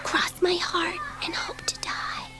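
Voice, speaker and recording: Excitable, high-voiced schoolgirl. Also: slow, whispered, heavily acted. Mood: sheepish. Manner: breathless, eager, self-dramatising. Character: bored, impulsive, excitable schoolgirl dabbling in magic. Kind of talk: dramatised children's audiobook.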